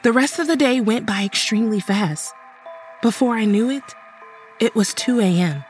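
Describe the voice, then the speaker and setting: A woman's voice: fairly high pitch, expressive, moderate pace, soft background music, close to an everyday voice. A woman playing a burnt-out, anxious, sardonic young college student in an audio horror drama. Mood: weary. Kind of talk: audio drama acting and first-person narration.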